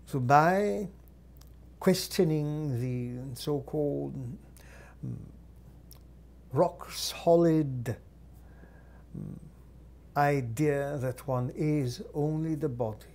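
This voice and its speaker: A man channels a guide entity in a formal, unhurried teaching voice. His pitch sits a little high and his tone is mellow and dark. He breaks his phrases with long pauses and quiet hums. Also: vocal fry. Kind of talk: slow deliberate channeled teaching.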